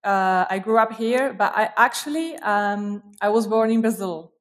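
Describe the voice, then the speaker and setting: A woman's voice: medium pitch, normal speed, a little hiss or hum. A woman from Argentina, a guest in a casual interview over a video call, talking with frequent fillers and restarts. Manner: hesitant, many fillers and restarts.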